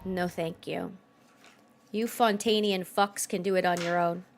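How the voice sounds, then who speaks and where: A woman's voice: on the low side, fairly even intonation, a little slow, vocal fry, a little hiss or hum. A woman giving casual, reactive game stream commentary in a blunt, sweary, incredulous way.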